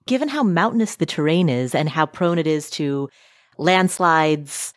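Interviewer, dry close sound, long measured questions. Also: mid-range voice, expressive, no room sound. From Nepal. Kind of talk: conversational interview.

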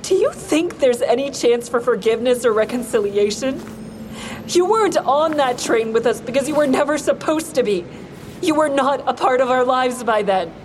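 An actress playing a bitter, grieving mother who is cold and unforgiving toward her ex-husband, in an audio drama with intense, deliberate delivery. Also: sits high, lively intonation. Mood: angry, scornful. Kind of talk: full-cast audio drama, first-person narration and dialogue. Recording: clearly noisy outdoor traffic ambience.

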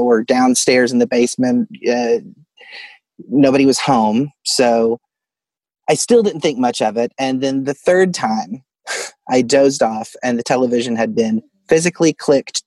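A man's voice: medium pitch, a little mellow, dry recording, poor audio. Male caller from Arkansas, dry close sound. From Arkansas, United States. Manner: chuckles through his story. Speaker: caller telling a personal ghost story. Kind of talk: casual storytelling. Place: closet at home.

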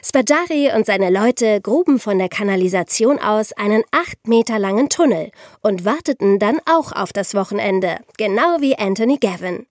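A woman hosting a podcast, telling true-crime stories in a conversational way and addressing listeners directly, like an animated storyteller. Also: medium pitch, normal speed, dry recording, studio quality. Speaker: podcast host.

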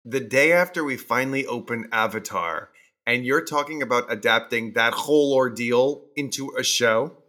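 A man's voice: medium pitch, normal speed, bright, forceful tone, natural voice. A man playing an incredulous musical composer in an audio comedy drama.

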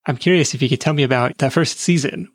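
A man's voice: fairly high pitch, quick pace, husky, no room sound. A man hosting an interview, summing up what he hears and asking thoughtful follow-up questions. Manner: thoughtful, summarizes and asks follow-ups. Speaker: podcast host.

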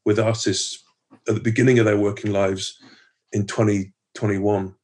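A man's voice: fairly low pitch, little pitch movement, moderate pace, darkish tone. A man hosting a podcast interview, asking long winding questions with enthusiasm and laughter.

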